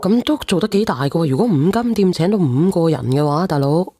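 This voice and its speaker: A woman's voice: on the low side, lively intonation, a little fast, mellow. A woman hosting a live call-in stream in casual, bantering, slangy talk. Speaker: live-stream host taking calls.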